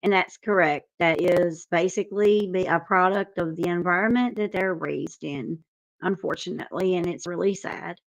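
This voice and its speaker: A woman's voice: lowish voice, normal speed, gravelly. A woman reading out viewer comments and responding with her own view.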